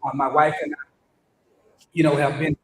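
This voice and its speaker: A man's voice: flat delivery, moderate pace. An older man welcoming people to his church and leading an earnest prayer, often repeating his words. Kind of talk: welcome address and spoken prayer. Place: church hall. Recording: echoey church hall, faint rumble.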